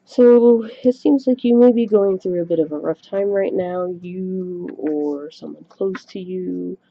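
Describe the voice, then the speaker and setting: A soft-spoken woman giving a tarot reading to camera at home, chatty and a little self-conscious, recorded on a webcam microphone. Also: on the low side, measured pace. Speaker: tarot reader. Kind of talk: casual solo reading to camera. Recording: dull lo-fi webcam mic recording.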